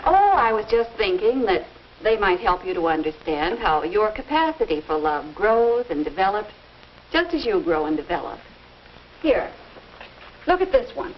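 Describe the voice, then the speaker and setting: An actress playing a patient, gently explanatory middle-aged American mother advising her teenage daughter in a 1950s instructional film. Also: mid-range voice, big pitch swings, normal speed, a little hiss or hum, close to an everyday voice. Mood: gentle, attentive. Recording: dull vintage film soundtrack with music.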